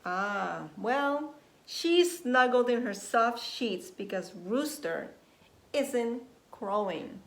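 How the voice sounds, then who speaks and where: Female nursery teacher, storytime read-aloud. Fairly high voice, unhurried, expressive, engages young listeners. Roomy home recording. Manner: expressive, deliberate, prompting young listeners with questions. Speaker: nursery teacher reading stories to young children. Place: indoors at home. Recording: roomy echo, faint background noise, home recording.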